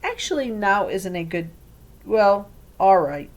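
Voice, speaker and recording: A woman's voice: medium pitch, lively intonation, a little slow. A woman voicing a caring, in-charge aunt in a storybook, direct and plain-spoken. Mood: reluctant.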